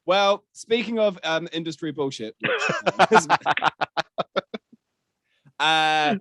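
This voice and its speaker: A man's voice: fairly high pitch, lively intonation, very slow, a bit bright, no room sound. A man hosting a gaming podcast, talking through the news in a candid, sweary, conversational way. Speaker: podcast host.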